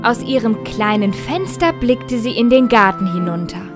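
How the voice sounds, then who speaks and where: A woman's voice: on the high side, big pitch swings, normal speed. A woman reading a scripted fairy tale for children as storyteller. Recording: music under the voice. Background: music.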